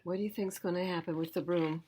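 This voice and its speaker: A woman's voice: fairly low pitch, fairly even intonation, fast talker, dark tone. A woman reading a picture book aloud at storytime, emphatic and speaking directly to young listeners. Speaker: library storytime reader. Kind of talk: children's read-aloud storytelling with asides.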